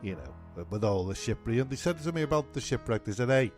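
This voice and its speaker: A man acting a chirpy, self-admiring castaway in a comic radio play, excitable and jokey, with music behind him. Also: mid-range voice, fast, lightly acted. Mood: boastful.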